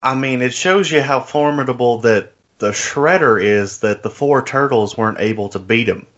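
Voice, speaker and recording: A man's voice: mid-range voice, normal speed, fairly bright tone, highs cut off. A man co-hosting a casual film discussion podcast, giving his opinions in conversational speech.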